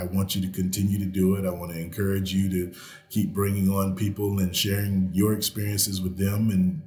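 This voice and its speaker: A man's voice: low-pitched, a little mellow, echoey room. A man speaking as a guest on a podcast, earnest and encouraging. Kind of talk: casual interview chat.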